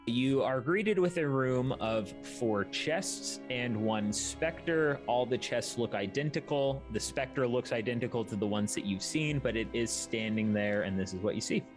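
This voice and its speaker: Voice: mid-range voice, moderate pace, few pauses. A game master narrating a tabletop fantasy adventure, describing scenes to the players. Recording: music behind the voice. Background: music.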